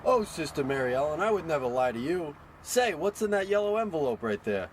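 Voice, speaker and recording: A sly small-time crook in a comic radio play, played by a man. His voice is fairly high, wheedling and full of wise-guy slang, with faint street noise behind it. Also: sing-song, fairly quick. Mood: oily, flattering.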